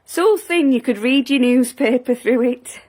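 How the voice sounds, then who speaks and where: A woman's voice: sits high, measured pace, darkish tone. An actress playing an older, plain-spoken woman in a naturalistic audio drama, wistful and wry, sometimes hesitant. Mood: fond reminiscing.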